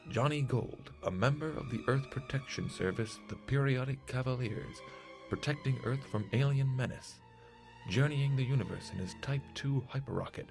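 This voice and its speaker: A man playing the portentous announcer of a 1950s-style space radio serial, with a gravelly, husky voice and dramatic delivery. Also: medium pitch, lightly acted.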